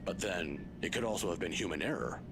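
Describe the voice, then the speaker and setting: A man's voice: low voice, animated, brisk, music in the background. An actor playing a kindly, patient old male professor in a video game, scholarly, pedantic and given to chuckling. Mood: thoughtful. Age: older.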